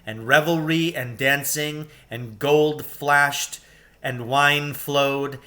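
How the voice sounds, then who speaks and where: A man narrating a children's storybook expressively, in a measured way with dramatic emphasis. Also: medium pitch, bright, forceful tone. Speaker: reader of a children's novel.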